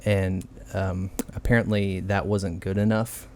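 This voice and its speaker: A young man from Texas talking in a low, unhurried register as he co-hosts a casual podcast. He rambles through a story with plenty of "uh" and "um" and drops swear words freely, in an easygoing, loose manner. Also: flat delivery, darkish tone, slight background noise. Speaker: podcast co-host. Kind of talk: casual chat.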